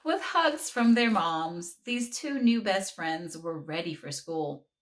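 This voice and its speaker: A woman, a children's book author, reading her story aloud to children in a slow, expressive, encouraging way. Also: fairly low pitch.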